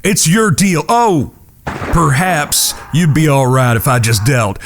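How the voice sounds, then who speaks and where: A man's voice: a little high, very animated, takes it slowly, a little hiss or hum, exaggerated voice. A man playing a vengeful outlaw card player in a western audio drama, mock-courteous and folksy while menacing.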